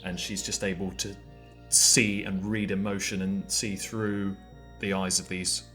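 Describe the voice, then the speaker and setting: A man's voice: low voice, little pitch movement, unhurried, bright, forceful tone. A man playing a tabletop roleplaying game, describing his character's actions in dramatic third person. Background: faint background music.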